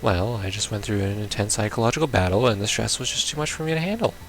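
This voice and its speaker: A man's voice: fairly low pitch, quick pace, a bit bright, soft background music, low-quality recording. A man giving casual let's play commentary on a visual novel, reading game text aloud and reacting in a bemused, exclamatory way. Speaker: gamer playing a visual novel.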